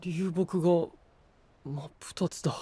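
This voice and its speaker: 仲間を気遣う気さくな青年を演じる男性声優。率直で面倒見のいい話し方。. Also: male voice, fairly high pitch, fairly even intonation, measured pace, a little hiss or hum, natural voice. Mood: surprised. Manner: warm-hearted, frank, caring. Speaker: voice actor. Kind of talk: anime voice drama.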